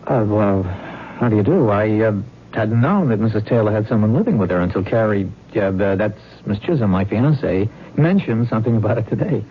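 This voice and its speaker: A man's voice: on the low side, lively intonation, fairly quick, close to an everyday voice. An actor playing an earnest, polite young man who stammers hesitantly, in old-time radio drama style. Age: young adult. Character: earnest, flustered young fiancé investigating strange deaths. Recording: narrow, noisy old radio recording.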